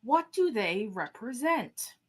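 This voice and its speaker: A woman's voice: a little high, big pitch swings, slow pace, a bit bright, exaggerated voice. A woman playing a dignified, authoritative starship captain in a fan radio play. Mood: questioning. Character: commanding, questioning starship captain, formal and dignified.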